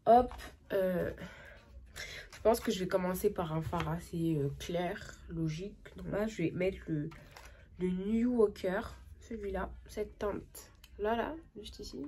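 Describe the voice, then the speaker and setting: A young woman with a cold, chatting excitedly and casually through a makeup tutorial, with lots of slang and trailing sentences. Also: fairly low pitch, slow, a little hiss or hum. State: has a cold. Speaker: makeup vlogger.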